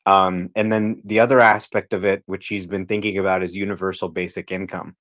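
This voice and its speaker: A man's voice: sits low, level tone, a little fast, a bit bright. A man speaking as a panel guest, explaining things casually in plain terms.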